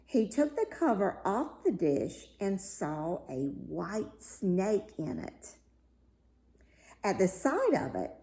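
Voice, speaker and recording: A woman reading a fairy tale aloud as a storyteller, with deliberate, dramatic phrasing. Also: mid-range voice, mellow. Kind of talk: expressive read-aloud fairy tale narration. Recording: roomy, echoey room sound.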